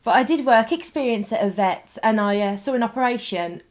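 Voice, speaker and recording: A woman's voice: on the high side, expressive, a little fast, darkish tone. A young woman who trained in acting, speaking casually in a documentary interview and often saying "like". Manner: chatty, lots of "like". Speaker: interviewee, actor.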